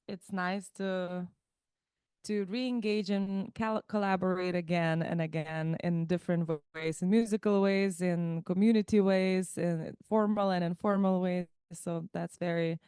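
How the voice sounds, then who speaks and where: A woman's voice: on the low side, a little mellow, clean tone, no echo, studio quality. A woman in her thirties from Lithuania, a musician guest chatting about her life, thoughtful and given to restarting words mid-phrase. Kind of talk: casual interview chat.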